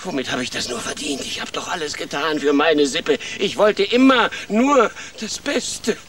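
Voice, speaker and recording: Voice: medium pitch, expressive, normal speed, a bit bright, slight background noise, lightly acted. An actor playing a pompous, self-important old male leader of sewer rats in a children's radio play, with grandiose, declamatory delivery. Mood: bitter, self-pitying. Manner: grandiose, declamatory, theatrically indignant.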